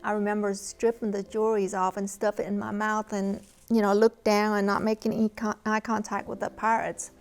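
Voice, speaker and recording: Woman in her 50s, vietnamese accent, telling her story slow and thoughtful, documentary interview. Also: mid-range voice, flat delivery, faint music behind. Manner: measured, reflective storytelling. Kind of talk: personal testimony in a documentary interview.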